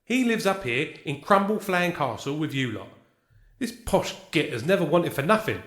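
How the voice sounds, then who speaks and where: A man playing a poor, blunt male serf who talks rough and cheeky, with a British accent. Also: a little high, very animated, moderate pace, fairly bright tone, reverberant.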